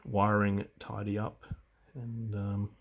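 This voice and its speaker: A man giving a casual, unscripted walkthrough of equipment, speaking in a hesitant, halting way as he thinks aloud. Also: on the low side, level tone. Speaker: hobbyist presenter demonstrating vintage audio gear.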